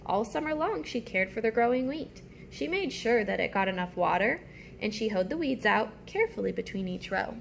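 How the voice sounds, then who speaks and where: A woman reading a picture book aloud as a teacher, with simple, deliberate phrasing and asides to young listeners. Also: a little high, animated, vocal fry, a little hiss or hum.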